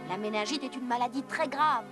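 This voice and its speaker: A woman's voice: a little high, fairly quick, music in the background. A woman narrator delivering scripted educational cartoon narration in a storytelling manner.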